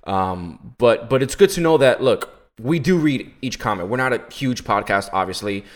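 Young male podcast co-host, laid-back, laughs easily, lively swooping intonation. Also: medium pitch, normal speed. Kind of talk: casual podcast chat.

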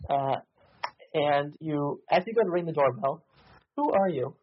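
A man's voice: a little high, expressive, unhurried, narrow, tinny audio. A man narrating as game master over a voice call, hesitant with many ums and restarts.

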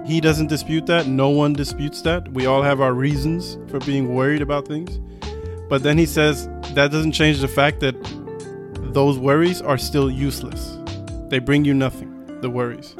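A man's voice: on the high side, level tone, normal speed, music in the background. A man co-hosting a casual podcast, laid-back, explaining his points step by step. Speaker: podcast co-host.

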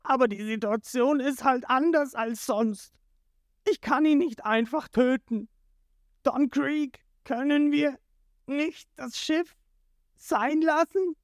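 A narrator voicing a soft-hearted, loyal male pirate henchman who pleads and wails in a storybook retelling. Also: medium pitch, expressive, slow, dry recording, studio quality, voice pushed up. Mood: pleading. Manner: pleading, wailing.

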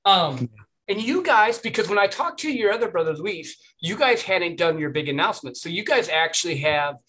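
A man's voice: sits high, animated, moderate pace, bright, forceful tone. A man hosting a podcast and interviewing a guest in a friendly, encouraging way. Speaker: podcast host.

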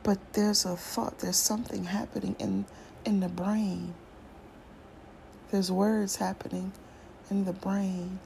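A woman chatting on her own podcast, drawing out her words at an unhurried crawl. Her voice sits at a middle pitch, and her talk stays loose and slangy as she thinks aloud. A faint hiss runs underneath. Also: level tone, vocal fry, a little mellow, rough recording. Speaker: podcast host. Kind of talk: casual solo podcast monologue.

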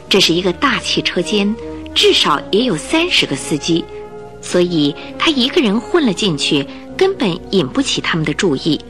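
A female narrator tells a radio drama story with expressive, very animated intonation. Her register is medium for a woman, and soft music sits faintly behind her. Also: mid-range voice.

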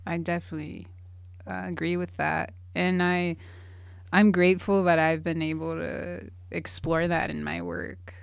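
Gravelly woman, relaxed chat. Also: fairly low pitch, measured pace, dry recording, clean studio sound. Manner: relaxed, reflective, many fillers. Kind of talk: casual conversation.

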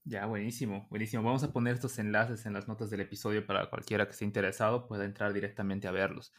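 Lower-pitched man hosting a podcast. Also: level tone, fast, a bit bright. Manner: hesitant, many restarts and repeated words. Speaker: podcast host. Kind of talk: casual interview.

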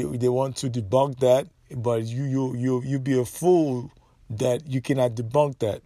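A man's voice: medium pitch, mellow, no echo, clean studio sound. A man hosting a solo podcast, opinionated and emphatic, often repeating himself. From Haiti. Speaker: podcast host.

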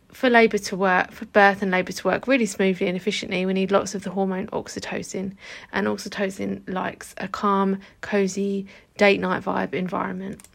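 A woman in her thirties with a croaky voice, hosting a casual solo podcast as a hypnobirthing teacher in a chatty, informal way. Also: medium pitch.

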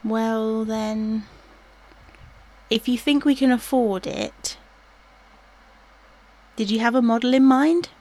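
A woman plays a self-doubting wife and new mother in a homely audio drama. Her voice is on the high side. She speaks hesitantly, full of doubts and questions. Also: takes it slowly, pauses often, darkish tone. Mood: warily considering. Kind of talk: naturalistic domestic audio drama acting.

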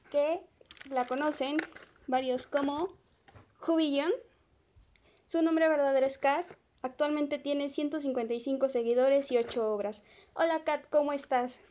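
A woman's voice: high voice, fairly even intonation, a little slow, pauses often, darkish tone, slight background noise. A woman hosting an interview, introducing and putting prepared questions to a guest. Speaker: interview host.

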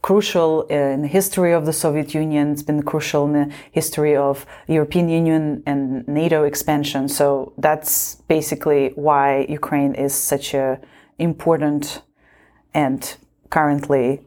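A woman's voice: low-pitched, level tone, measured pace, dark tone. A young woman from Kazakhstan speaking earnestly on a panel, explaining her view with light laughs. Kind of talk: panel discussion.

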